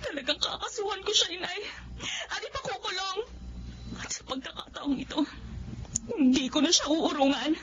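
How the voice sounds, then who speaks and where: Radio soap role: wronged young Filipina wife, high tremulous voice, hurt but resolute, slightly noisy lo-fi sound. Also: female voice, animated, normal speed, long pauses, a bit bright. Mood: tearful resolve. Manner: aggrieved, tremulous, emotional. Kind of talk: Filipino radio drama acting.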